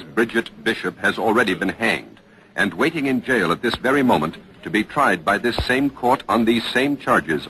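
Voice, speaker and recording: A man reporting in 1940s radio news style as host, formal, crisp and probing, on a vintage broadcast recording. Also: on the low side, bright, forceful tone, a little hiss or hum, low-quality recording.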